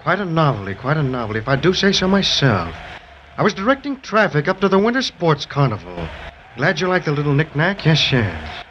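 A pompous, genial snowman gentleman, rambling in flowery phrases and repeating himself. The voice sits fairly high and swoops widely, in a muffled 1930s children's radio recording. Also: very animated, fairly quick, a little hiss or hum. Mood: chatty, self-pleased. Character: chatty, forgetful, genial snowman gentleman with silk hat.

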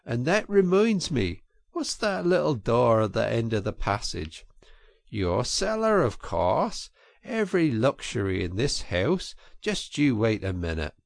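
A man voicing a cheery storybook water rat, bossy and hearty, lively swinging pitch, gravelly edge. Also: unhurried, no echo, studio quality. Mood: reproachful. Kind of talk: storybook reading.

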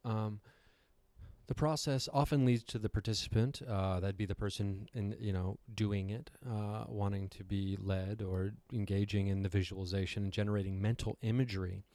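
A man with a slightly raspy voice, giving a casual, rambling talk with wry, self-deprecating asides. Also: lowish voice, fairly even intonation, normal speed, mellow, no room sound. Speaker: host giving a talk on meditation. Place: hotel room.

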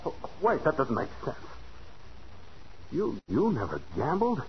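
An actor playing a bitter, suspicious, wounded husband in a 1940s radio thriller, hard-bitten and insistent. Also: male voice, fairly high pitch, wildly swooping pitch, a little slow. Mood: suspicious, demanding. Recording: muffled 1940s radio recording, faint noise.

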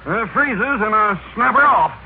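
A man's voice: sits high, lively intonation, moderate pace, creaky, noisy background. A man playing a plain-spoken farmer telling tall tales in an old radio comedy sketch, on a tinny recording. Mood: matter-of-fact.

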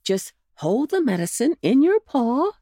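A woman's voice: high voice, big pitch swings, measured pace, mellow, no echo, clean studio sound, pitched above the performer's own voice, heavily acted. A woman doing jolly old bunny uncle Uncle Wiggily. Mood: coaxing. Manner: jolly, coaxing storybook voice. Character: kindly old gentleman rabbit, cheerful and clever.

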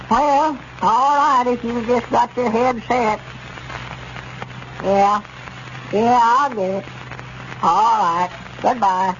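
Grumbling old rural Southern storekeeper, high voice, hesitant, folksy, muffled 1930s radio comedy. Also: male voice, a bit bright, heavily acted. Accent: rural Southern American. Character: grumbling, easily swayed old country storekeeper. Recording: dull, noisy 1930s radio recording.

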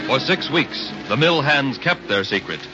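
A man's voice: mid-range voice, animated, measured pace. A man narrating a history drama in a dramatic 1930s radio style. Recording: muffled 1930s radio recording. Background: dramatic music.

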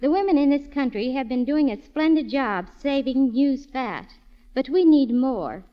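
A woman's voice: sits high, expressive, moderate pace. A woman giving a scripted 1940s radio appeal as a guest navy nurse. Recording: muffled old radio broadcast.

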